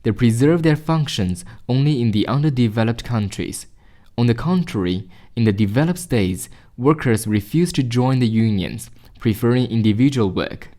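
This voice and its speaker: A man reading short exam passages aloud as a narrator, in a measured, formal manner. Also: medium pitch, lively intonation, dark tone.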